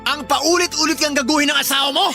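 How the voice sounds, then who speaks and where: A man playing an enraged, protective older Filipino father in a melodrama, scolding forcefully. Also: very high-pitched, steady tone, quick pace, gravelly, soft background music, heavily acted. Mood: hurt, reproachful.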